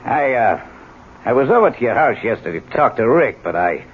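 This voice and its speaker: Tinny old radio, guy playing a slangy insinuating insurance detective, talky and animated. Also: medium pitch, fairly quick, close to an everyday voice. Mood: insinuating, amused. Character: wry, talkative, tough insurance detective. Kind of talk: 1970s radio drama acting. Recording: tinny old radio broadcast, faint noise.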